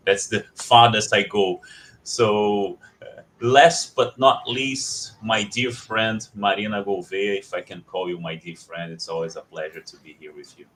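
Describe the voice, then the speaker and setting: A man from Brazil moderating an online panel, welcoming speakers warmly and repeating words as he talks. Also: sits low, slight background noise, low-quality recording. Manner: warm, repeats words, many uh fillers.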